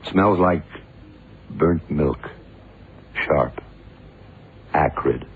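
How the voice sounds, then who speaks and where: An actor playing a shrewd, commanding detective in a 1970s radio crime drama, speaking tersely and deliberately. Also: male voice, a bass voice, exaggerated voice. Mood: brooding. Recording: noisy, tinny old radio broadcast.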